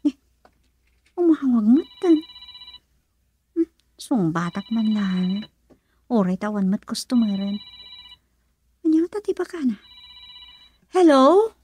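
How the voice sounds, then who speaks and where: High-voiced, unhurried young woman. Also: sing-song, dark tone, slightly rough, full character voice. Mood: hesitant musing. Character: tired young working woman, modest and bashful. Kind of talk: radio drama acting in Ilocano.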